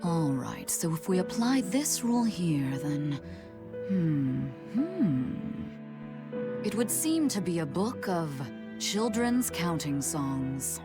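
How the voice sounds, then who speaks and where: A woman's voice: fairly low pitch, big pitch swings, slow pace, over music, full character voice. An actress playing an elderly, teasing woman scholar in a video game, musing theatrically over her words. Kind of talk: video game cutscene acting.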